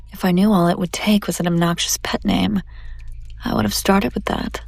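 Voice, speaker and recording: A woman playing a seductive, domineering demon in an intimate audio roleplay, teasing and condescending, often slipping into breathy whispers. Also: fairly low pitch, a little mellow, no echo. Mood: teasing, admiring. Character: seductive, domineering female demon buyer taunting a captive angel. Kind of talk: intimate scripted audio roleplay addressed to the listener.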